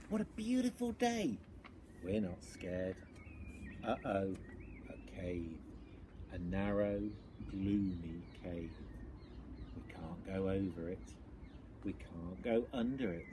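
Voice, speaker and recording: A man giving a dramatic, exaggerated and deliberate reading of a children's picture book. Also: on the low side, noisy background. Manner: theatrical, exaggerated, deliberate delivery with repeated phrases. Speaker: storyteller.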